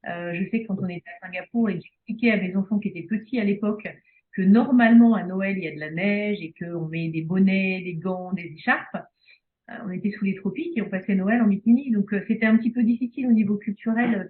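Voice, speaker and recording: Woman on a tinny call. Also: medium pitch. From Belgium. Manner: hesitant, many fillers and restarts. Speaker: speech therapist guest. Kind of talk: casual interview chat. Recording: phone-like video call audio.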